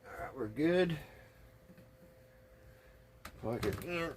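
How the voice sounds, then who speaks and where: A man with a slightly husky voice, talking casually and folksily through hands-on repair work, thinking aloud without hurry. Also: on the high side, lively intonation, background noise. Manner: folksy, unhurried, thinking aloud while working. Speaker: hobbyist radio restorer and video host. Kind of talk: casual hands-on workbench narration.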